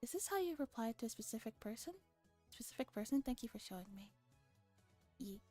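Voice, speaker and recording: Woman, high mellow voice, playful streamer, casual read-aloud and chat, soft music behind. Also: animated, a little fast.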